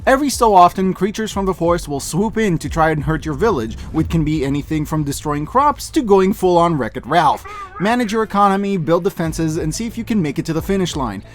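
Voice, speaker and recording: A man's voice: fairly high pitch, lively intonation, a little fast. A man narrating a scripted review with casual asides, in a conversational, emphatic way. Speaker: reviewer presenting a yearly roundup. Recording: voice-over with music behind. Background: music.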